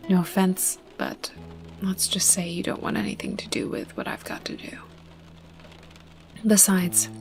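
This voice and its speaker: A woman playing a tough, guarded young female survivor in an ASMR roleplay, blunt and sarcastic, with a breathy voice that often drops toward a whisper. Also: on the low side, a little mellow, natural voice. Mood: scornful, suspicious. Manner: wary, sarcastic, blunt, cursing. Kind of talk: ASMR roleplay, close intimate acting to the listener.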